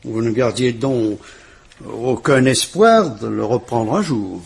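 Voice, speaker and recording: An actor playing a calm, kindly police commissioner in a vintage radio drama, speaking in a measured, courteous and reassuring way. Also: male voice, mid-range voice, vocal fry, breathy edge, heavily acted. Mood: probing, polite.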